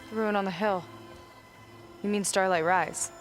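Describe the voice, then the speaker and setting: An actress voicing a confident young woman boat captain who talks in a calm, assured way and takes charge. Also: mid-range voice, normal speed, lightly acted. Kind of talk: video game cutscene acting. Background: game score music.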